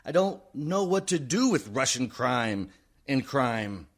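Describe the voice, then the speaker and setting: A man's voice: mid-range voice, big pitch swings, slow, full character voice. An actor playing a desperate, outraged man delivering a declamatory monologue in a radio comedy. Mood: agitated. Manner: declamatory, building from controlled to shouting. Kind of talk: theatrical radio-play monologue.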